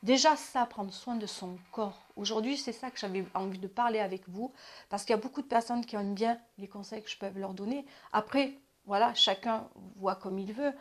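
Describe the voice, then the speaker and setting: Woman, fifties, advice channel host, chatty and rambling with frequent restarts, mid-pitched. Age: 50s. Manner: chatty, repeats and restarts, drops to asides. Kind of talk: casual spoken advice.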